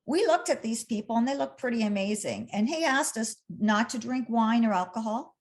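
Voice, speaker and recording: A woman's voice: fairly high pitch, expressive, normal speed. A woman in her sixties, a guest on a talk show, telling her story in an earnest, chatty way. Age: 60s. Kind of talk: casual interview chat.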